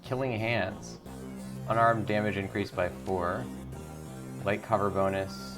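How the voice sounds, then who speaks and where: A man's voice: fairly low pitch, fairly even intonation, a little slow, music in the background. A man hosting a gaming video, giving casual commentary and reading the game's text aloud. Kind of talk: casual game commentary with read-aloud narration.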